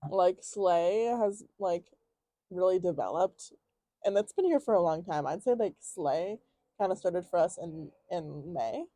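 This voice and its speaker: A young woman co-hosting a podcast, chatting casually with a friend, slang-heavy and quick to laugh. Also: fairly low pitch, no echo. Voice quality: loud projecting voice. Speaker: podcast co-host. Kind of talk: casual chat.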